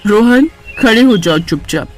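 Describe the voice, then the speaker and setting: A man's voice: high voice, animated, measured pace, faint music behind. A man voicing a young married sister-in-law in a cartoon story, affectionate and forthright. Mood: firm. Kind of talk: cartoon story character acting.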